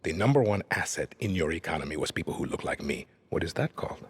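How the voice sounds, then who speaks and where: Very deep, menacing Latin dictator. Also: male voice, expressive, husky, full character voice. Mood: cold, cutting. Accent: Latin American Spanish. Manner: measured, deliberate, menacing. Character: menacing middle-aged male dictator, calm and controlling. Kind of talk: cinematic video game acting.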